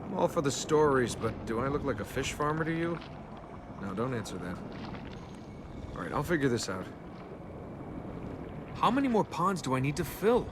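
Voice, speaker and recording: An actor playing a cocky young adventurer and reluctant hero in a video game, with a wry, flippant, casual delivery. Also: male voice, medium pitch, very animated, normal speed, pauses often, noisy background, lightly acted. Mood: wry, sarcastic.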